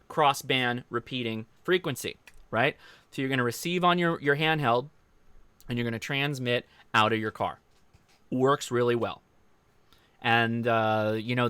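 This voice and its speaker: A man's voice: medium pitch, sharp tone, clean tone, no echo. An American man hosting a casual livestream, explaining in an informal, rambling, enthusiastic way as he answers viewer comments. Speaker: host of a ham radio channel.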